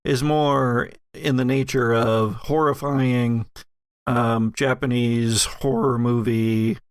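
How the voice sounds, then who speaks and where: Male game master for horror tabletop play, unhurried and deliberate, recorded dry. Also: mid-range voice, steady tone, smooth, no echo. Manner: halting, deliberate scene-setting.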